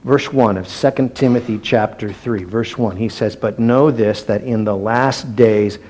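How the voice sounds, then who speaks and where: A man teaching an evening Bible study in a relaxed, self-deprecating way, with fillers and restarts, slowing to stress each word when he reads scripture. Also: lowish voice.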